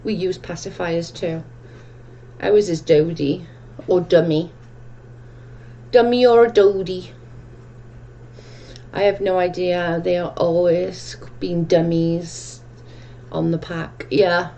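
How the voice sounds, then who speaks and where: Slow-talking Welsh woman chatting. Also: lowish voice, fairly even intonation, dark tone, highs cut off, slight background noise. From Wales. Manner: chatty, reads out comments and answers them at length. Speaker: livestream host. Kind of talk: casual chat answering viewer comments.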